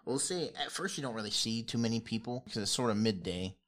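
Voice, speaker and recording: A man's voice: mid-range voice, lively intonation, fairly quick, fairly bright tone. A man acting as game master, narrating a fantasy tabletop game in a casual, rambling, improvised way.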